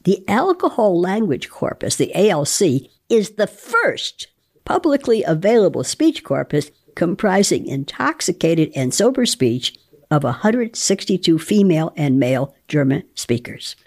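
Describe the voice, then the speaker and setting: A woman's voice: fairly low pitch, sing-song, unhurried, darkish tone. A woman, a psychologist guest, reading research aloud dramatically and explaining it in plain, emphatic words.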